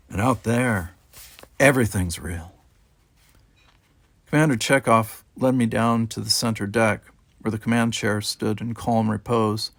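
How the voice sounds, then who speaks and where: A man reading a first-person novel aloud as an audiobook, with expressive storytelling delivery. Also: on the low side, gravelly, no room sound. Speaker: amateur audiobook reader. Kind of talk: expressive scripted first-person fiction narration.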